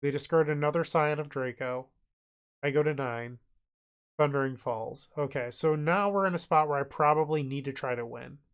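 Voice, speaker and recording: A man's voice: fairly high pitch, normal speed, clean tone. A man with a cold, sounding congested and nasal, casually narrating his online card game move by move as he thinks aloud. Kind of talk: casual gameplay commentary.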